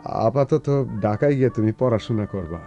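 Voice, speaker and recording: An actor playing an earnest, philosophical village folk musician in a radio drama, speaking reflectively in a rural Bangladeshi accent. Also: male voice, mid-range voice, normal speed, dark tone, muffled recording, faint music behind, lightly acted.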